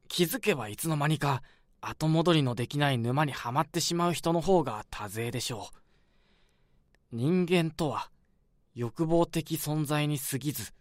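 A man playing a polite hospital doctor who speaks in a measured, philosophical way. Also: on the high side, no room sound, clean studio sound. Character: calm philosophical male hospital doctor, middle-aged.

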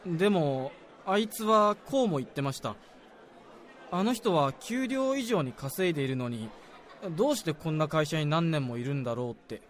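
Casting: male actor as junior salaryman, higher-pitched young voice, apologetic and sincere, confiding in a senior, radio drama. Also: animated, normal speed, a faint crowd, close to an everyday voice. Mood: earnest recounting. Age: young adult. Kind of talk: Japanese radio drama dialogue.

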